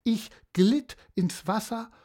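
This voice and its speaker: A woman voicing a rambling, woeful female seahorse who tells tall tales slowly, with many hesitations. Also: a little high, mellow, pitched above the performer's own voice. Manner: slow, hesitant, stammering with fillers. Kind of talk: storybook character voice.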